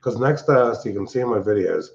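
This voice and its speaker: An older man chats on a late-night livestream, his voice loud and pitched on the low side. He talks in a blunt, rambling, irritable way, speaking from a big open-plan house. The audio is dull and lo-fi. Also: fast talker, vocal fry. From Pennsylvania. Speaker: livestream host. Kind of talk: casual livestream chat.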